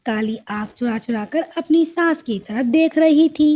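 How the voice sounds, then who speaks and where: A woman's voice: sits high, measured pace, mellow. A woman reading scripted narration for a cartoon story.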